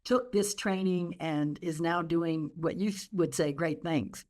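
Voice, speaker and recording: A woman's voice: on the low side, a little slow, a little mellow. An older woman hosting a video-call interview, asking encouraging questions. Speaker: interview host. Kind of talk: conversational interview.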